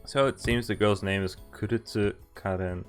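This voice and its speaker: A man's voice: on the low side, fairly even intonation, moderate pace. A man streaming a game, reading visual novel text aloud and adding casual, joking commentary. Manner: amused, joking asides. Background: game music.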